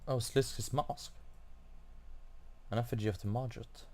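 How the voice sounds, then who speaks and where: A man giving casual commentary as he plays a video game, haltingly thinking aloud. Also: medium pitch, fairly even intonation, darkish tone. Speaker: video game player making a playthrough.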